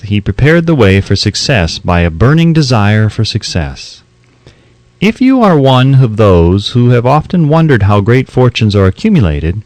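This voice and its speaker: Male audiobook narrator, formal and emphatic, animated pitch movement, dry close sound. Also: no room sound. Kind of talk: scripted audiobook narration of a self-help book.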